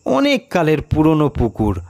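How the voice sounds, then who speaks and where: A man narrating a mystery story in a measured, expressive, dramatic audiobook style with pauses. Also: fairly high pitch, creaky.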